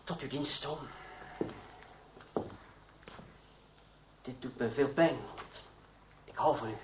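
An actor playing a proud, cool young gentleman fencing master in a radio play, speaking formally with measured irony. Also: medium pitch, close to an everyday voice. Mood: wounded, respectful. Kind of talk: Dutch radio play acting. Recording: dull, noisy old radio recording.

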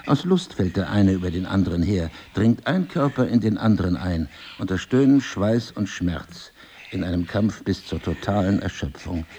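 An actor playing a married crime novelist in an intimate drama, husky and articulate, probing, cynical and wounded, with deliberate literary phrasing. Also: male voice, deep voice, warm tone, a little hiss or hum. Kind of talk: intimate two-person stage drama dialogue.

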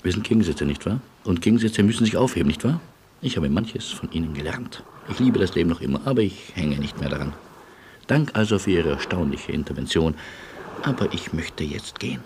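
A man playing an ironic, resigned Polish refugee who argues in a measured, philosophical way in a German radio play. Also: sits low, lively intonation, darkish tone, slight background noise. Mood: resigned calm. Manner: measured, argumentative, wry.

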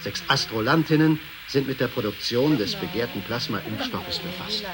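A man's voice: mid-range voice, very animated, moderate pace, crowd noise, close to an everyday voice. A man playing a pompous corporate narrator in a radio drama, delivering formal, promotional scripted narration. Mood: solemn, promotional. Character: pompous male corporate narrator of a space mission.

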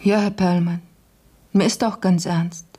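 An actress playing a serious, shrewd young housemaid in a German radio play, speaking plainly and in a subdued way. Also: female voice, on the low side, fairly even intonation, unhurried, warm tone, husky.

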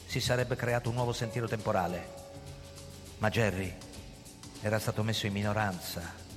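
An actor playing a hunted middle-aged police chief in a radio play, telling his story theatrically, moving between hushed narration and agitated outbursts. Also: fairly low pitch, fairly even intonation, moderate pace, close to an everyday voice. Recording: long hall reverb, music underneath. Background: music.

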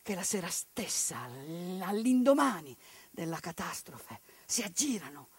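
A woman performing a theatrical monologue, narrating dramatically, moving between hushed and declaimed delivery. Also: lowish voice, big pitch swings, measured pace, breathy edge.

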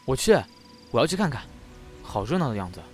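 A man acting as an impulsive, huffy young man in an audio drama. His mid-pitched voice swings expressively as he grumbles bluntly and playfully. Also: very animated, brisk, soft background music, natural voice. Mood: curious, excited.

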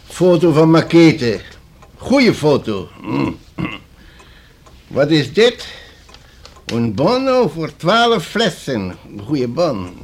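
Gravelly, muttering old uncle, very slow. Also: male voice, a little high, wildly swooping pitch, full character voice. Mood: gruff, terse. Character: Uncle Philip, laconic old rich Spanish-speaking uncle. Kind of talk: radio play acting.

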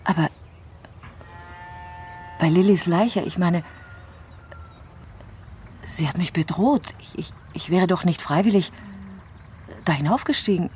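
An actress playing a troubled, brooding politician in a crime radio play, speaking reflectively and intensely, sometimes halting. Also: female voice, lowish voice, a little mellow, slight background noise. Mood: agitated, defensive. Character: troubled, brooding female politician doubting the official truth. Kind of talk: dramatic radio play acting.

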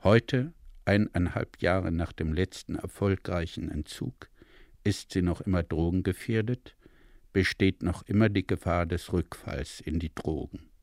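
Very deep, gravelly male interviewer. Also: darkish tone, dry recording, clean studio sound. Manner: halting, searching questions. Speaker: interviewer and narrator. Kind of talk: radio documentary interviewing.

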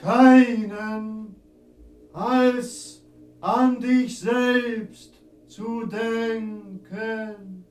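A man's voice: high-pitched, fairly even intonation, drawn-out words, darkish tone, rough recording. A man acting a solemn séance figure in a German radio drama, with declamatory, ceremonial delivery. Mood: mournful, grave.

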